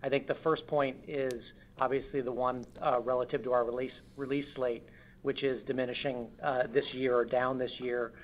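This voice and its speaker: A man's voice: medium pitch, little pitch movement, moderate pace. A male finance executive with a mid-range voice gives business commentary on an earnings call. He picks his corporate wording carefully, with some hesitation, over dull lo-fi audio.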